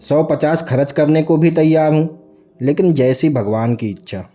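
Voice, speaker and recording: A man voicing a boastful, lonely widowed cowherd in his fifties in a storybook, with gruff rustic speech. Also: fairly high pitch, normal speed, mellow, soft background music, close to an everyday voice. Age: 50s. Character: boastful, lonely fifty-year-old widowed male cowherd.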